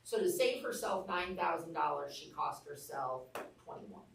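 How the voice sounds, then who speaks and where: A woman's voice: sits low, lively intonation, moderate pace, gravelly, a little hiss or hum. A woman with an Arkansas accent teaching a real estate class, telling candid stories in a blunt, down-to-earth way. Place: training room. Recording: echoey room, mic far off.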